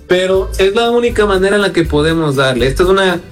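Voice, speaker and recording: A man with an Ecuadorian highland accent speaks casually as an interview guest. His voice sits a little high for a man, and he sounds earnest and encouraging. Faint music plays behind him. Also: animated, moderate pace. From Quito, Ecuador. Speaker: independent singer, interview guest.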